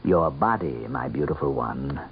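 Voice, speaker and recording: A man's voice: a bass voice, lively intonation, measured pace, gravelly, breathy edge, faint music behind, exaggerated voice. An actor playing a suave, mocking minor demon who passes for a man, with urbane, theatrical politeness in a radio mystery drama. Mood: suave, sardonic. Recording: muffled, band-limited old radio broadcast with noise.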